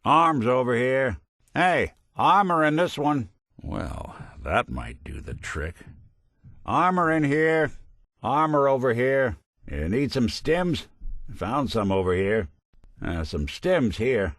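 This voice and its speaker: An actor playing a world-weary, loyal robot detective in a video game, with wry, folksy 1940s private-eye slang. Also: male voice, deep voice, very animated, slow, vocal fry, breathy edge, dry recording, studio quality, heavily acted. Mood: casual, alert. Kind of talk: video game companion voice lines.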